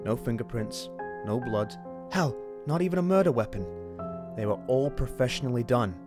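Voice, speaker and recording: A man's voice: medium pitch, lively intonation, moderate pace, close to an everyday voice. A man playing a young, hard-nosed, suspicious police detective in an amateur radio play. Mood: brooding, suspicious. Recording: music and rain under the voice.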